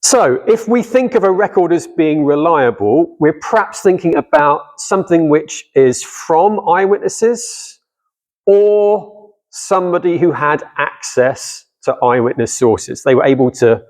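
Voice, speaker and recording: A man's voice: fairly high pitch, expressive, unhurried, a little mellow. Man giving a conversational church talk. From not from Cardiff. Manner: conversational, asks the audience questions. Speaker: guest speaker.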